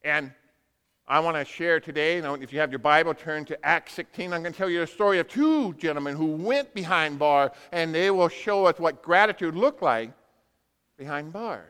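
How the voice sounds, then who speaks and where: A male preacher delivers his sermon in a high, forceful voice that rings through a long hall reverb. He tells it as a dramatic storyteller, breaking it into short punchy phrases and acting out the dialogue. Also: expressive, normal speed, clean tone. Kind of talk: sermon with animated personal storytelling. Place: large reverberant room, speaking to a congregation.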